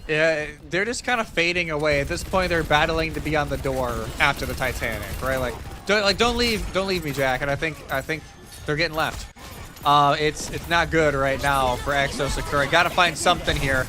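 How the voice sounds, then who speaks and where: A man giving live analytical esports commentary in a casual, conversational, somewhat halting way. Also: on the high side, music in the background.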